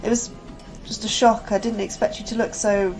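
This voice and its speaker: A woman's voice: medium pitch, flat delivery, moderate pace, darkish tone, faint music behind, close to an everyday voice, poor audio. An actress playing an anxious, apologetic woman scientist in a sci-fi audio drama, hesitant and earnest. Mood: awkward, apologetic. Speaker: actor in a sci-fi horror fiction podcast.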